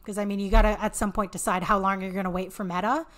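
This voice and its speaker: A woman's voice: medium pitch, level tone, quick pace, fairly bright tone. A woman with a Canadian accent talking casually as an interview guest, explaining and often restarting her sentences mid-thought. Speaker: podcast guest, author and marketing entrepreneur.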